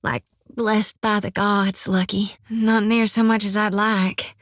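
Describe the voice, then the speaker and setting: A woman's voice: mid-range voice, little pitch movement, slow, fairly bright tone, no room sound, clean studio sound. An actress voicing an earnest, devout young farm-raised woman priest, folksy and stubborn. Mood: flustered. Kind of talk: video game voice acting. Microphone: dry studio mic.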